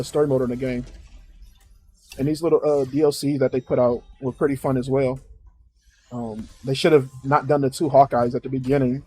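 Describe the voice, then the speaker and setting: A man's voice: medium pitch, warm tone, rough recording. An American man chatting casually as the host of a reaction video. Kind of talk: casual chat, reacting to a game showcase.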